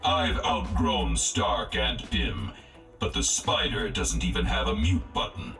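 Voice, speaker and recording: An actor voicing a cold, arrogant robot who scorns organic life, with a synthetic, processed, measured delivery in a video game. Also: male voice, medium pitch, very animated, fairly bright tone, echoey room, faint music behind. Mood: scornful. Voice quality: synthetic, gravelly. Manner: measured, clinical, disdainful. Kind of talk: video game character lines.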